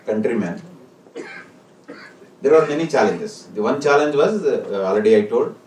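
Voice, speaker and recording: A man with an Indian accent giving a formal ceremonial speech as a senior executive, speaking deliberately in short, halting phrases. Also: mid-range voice, a little mellow. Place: corporate hall. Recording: echoey hall, distant mic, faint noise.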